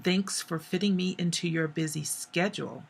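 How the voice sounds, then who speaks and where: A hoarse woman voicing a cold, accusing young man in a story she reads aloud. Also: fairly low pitch, moderate pace. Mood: bitter. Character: angry young man, bitter and sharp-tongued.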